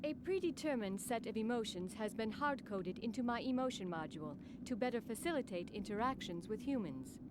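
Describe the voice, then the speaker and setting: A woman voicing a calm, formal female android combat system in a game cutscene, speaking in a flat, precise way. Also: a little high, fairly quick, hardly any pauses, background noise, natural voice. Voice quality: flat, precise, emotionless delivery. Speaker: game character. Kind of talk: dubbed game cutscene acting.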